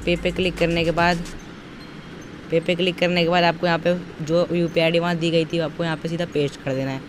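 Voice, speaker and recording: A man's voice: fairly high pitch, little pitch movement, brisk, music in the background. A young man giving an informal, step-by-step tutorial voice-over with repetitive, instructional phrasing. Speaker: tutorial presenter. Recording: noisy, outdoor traffic-like background.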